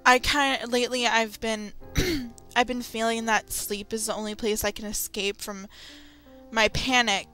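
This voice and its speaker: Bright, high-voiced young woman speaking candidly. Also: smooth. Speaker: video creator. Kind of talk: candid confessional vlog talk. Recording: music behind the voice. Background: background music.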